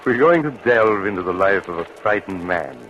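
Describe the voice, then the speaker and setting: An actor playing a grave, kindly doctor who tells eerie tales in a measured, formal, ominous way, in 1940s radio drama style. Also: male voice, low-pitched, lively intonation, a bit bright, muffled recording, soft background music. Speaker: narrator and doctor. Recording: noisy vintage radio recording.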